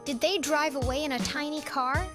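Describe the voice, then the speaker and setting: Curious little boy for audio drama, female performer, high voice, innocent manner, loud score behind. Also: normal speed, over music, lightly acted. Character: curious, innocent young boy in a circus sect.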